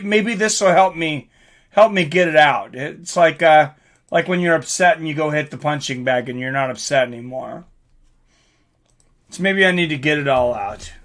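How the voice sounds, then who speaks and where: A man hosting a live fight stream, excitable and informal, mixing commentary with casual chat to viewers. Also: a little high. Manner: excitable, informal, swearing, reacting aloud.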